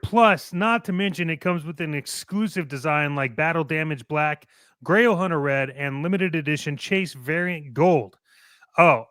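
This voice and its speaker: A man's voice: a little high, a bit bright, clear, resonant tone, dry recording, clean studio sound. A man co-hosting a casual toy collecting show, speaking with enthusiastic, cocky, jokey hype. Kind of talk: casual radio show chat and playful ad reads.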